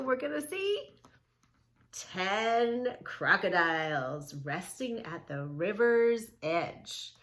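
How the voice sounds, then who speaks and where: A woman's voice: on the high side, fairly bright tone. A woman reading a children's book aloud as a yoga teacher, encouraging young listeners with questions, repetition and simple instructions. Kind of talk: children's read-along with movement instructions and counting.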